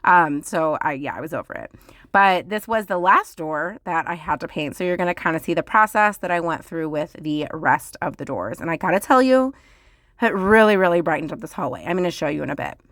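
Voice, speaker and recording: A woman with a hoarse, crackly, groggy voice, chatting casually and rambling a bit as she narrates her home vlog. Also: deep voice, animated, a little fast, fairly bright tone, dry recording, studio quality. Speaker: home vlogger. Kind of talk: casual voice-over chat.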